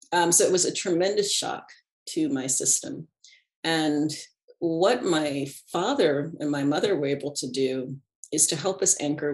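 A middle-aged woman guest in a reflective conversational interview, speaking thoughtfully and unhurriedly with soft laughs between thoughts. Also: sits low. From United States. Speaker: podcast guest, animist and ritualist.

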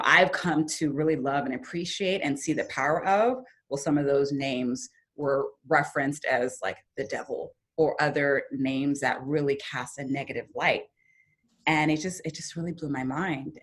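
A woman guest on a podcast, telling a personal story in an earnest, reflective way that turns lively when she quotes her younger self. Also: sits low.

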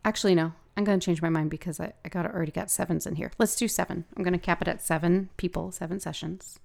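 A woman with a gravelly voice hosting a podcast, talking casually and haltingly as she thinks aloud. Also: lowish voice, steady tone, darkish tone. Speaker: podcast host and hypnosis practitioner. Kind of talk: casual solo podcast talk.

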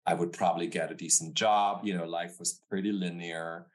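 A man's voice: low-pitched, steady tone, normal speed. A middle-aged man from Germany with a German accent, a podcast guest talking thoughtfully and hedging his words over a remote call. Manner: thoughtful, hedging with "you know" and "sort of". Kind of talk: reflective interview talk.

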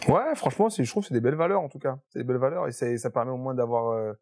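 Gravelly, husky podcast host man. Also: medium pitch, rapid-fire, darkish tone. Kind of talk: casual podcast interview.

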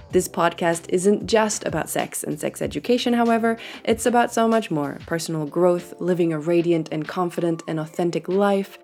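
Woman podcast host, stuffy nasal voice, frank and chatty, lowish pitch, close mic. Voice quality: nasal from congestion. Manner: warm-hearted, frank and chatty, with fillers and laughs. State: congested nose. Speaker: podcast host and sexuality coach. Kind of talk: solo podcast monologue.

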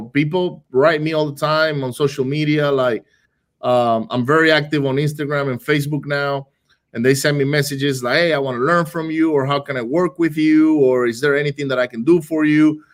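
A man from Venezuela, a business owner, talking casually in an interview, quick and animated, often restarting and repeating words. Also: medium pitch. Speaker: real estate wholesaling business owner.

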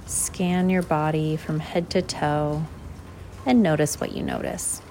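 A woman leading a guided visualization in an unhurried, measured way, leaving long pauses between short phrases. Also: low-pitched, fairly even intonation, warm tone, a little hiss or hum. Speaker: guided meditation host.

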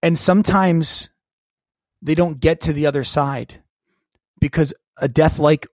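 Animated storytelling man, fairly high voice. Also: unhurried, dry recording, studio quality. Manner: animated storyteller who acts out quoted dialogue. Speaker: guest, keynote speaker and coach. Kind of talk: conversational podcast storytelling.

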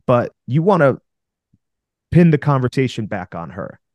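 A man's voice: medium pitch, big pitch swings, normal speed, a little mellow, no room sound. A man co-hosting a podcast, coaching male listeners on dating with emphatic, direct advice. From Russia. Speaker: podcast co-host.

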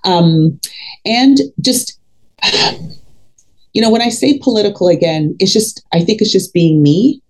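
A woman's voice: mid-range voice, a little slow, pauses often, darkish tone, poor audio. A woman in her fifties talking candidly in an interview, outspoken and emphatic. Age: 50s. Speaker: podcast guest, fitness coach.

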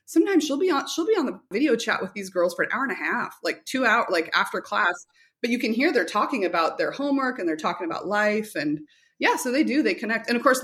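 A woman's voice: mid-range voice, fairly bright tone. A middle-aged woman giving chatty, casual interview answers as a company representative, often telling anecdotes and quoting dialogue. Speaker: guest, homeschool curriculum company representative.

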